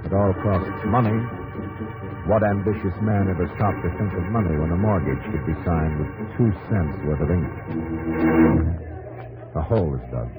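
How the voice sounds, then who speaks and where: Male narrator, lowish voice, theatrical and emphatic, 1950s radio drama over loud music. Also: narrow, tinny audio. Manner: theatrical, clipped phrases, emphatic. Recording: old 1950s radio broadcast.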